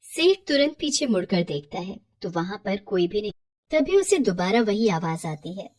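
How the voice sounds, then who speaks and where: A woman's voice: mid-range voice, sing-song, normal speed, a little mellow, no room sound, studio quality. A woman reading scripted horror story narration as the storyteller.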